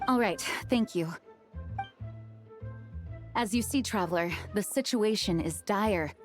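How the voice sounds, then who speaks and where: A woman's voice: mid-range voice, takes it slowly, faint music behind, lightly acted. An actress playing an earnest, dutiful female knight in a video game, speaking formally and urgently.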